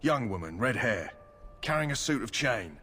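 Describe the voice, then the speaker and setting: An actor playing a busy army quartermaster in a fantasy video game. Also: male voice, medium pitch, sing-song, normal speed, sharp tone, slight background noise. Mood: brisk.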